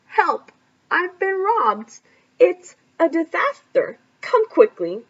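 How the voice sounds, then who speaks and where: Woman putting on a toothless grumpy old grandpa, mumbly speech, swooping pitch, lo-fi sound. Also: wildly swooping pitch, slow pace, breathy edge, exaggerated voice. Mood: panicked. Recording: lo-fi recording.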